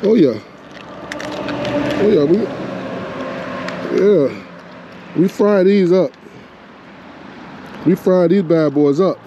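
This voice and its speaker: A man's voice: high voice, animated, very slow, long pauses, dull audio, background noise. A man fishing from a rocky shore outdoors, talking to himself and to the fish in casual running commentary, picked up by a body-mounted action camera. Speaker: fishing vlogger.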